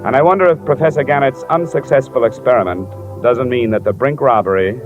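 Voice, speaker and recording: A man's voice: mid-range voice, brisk, music in the background, lightly acted. An actor playing a blunt, hard-boiled male insurance investigator in a 1950s radio detective drama. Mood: wry, weary. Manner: clipped, blunt. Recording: muffled vintage radio broadcast.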